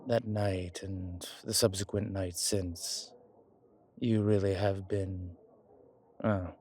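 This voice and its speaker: Deep, slow-talking old vampire gentleman played by a man. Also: husky, full character voice. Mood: grateful, wry. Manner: theatrical, formal, hesitant pauses, soft chuckles. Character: old male vampire, vain, dramatic, genteel, grateful, rueful. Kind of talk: intimate roleplay audio drama monologue.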